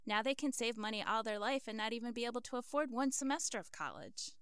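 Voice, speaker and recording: Actress as frank older wife, smooth clear voice, earnest and lecturing, dry recording, audio drama. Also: female voice, fairly high pitch, quick pace, fairly bright tone, no room sound, close to an everyday voice. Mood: earnest, reproving.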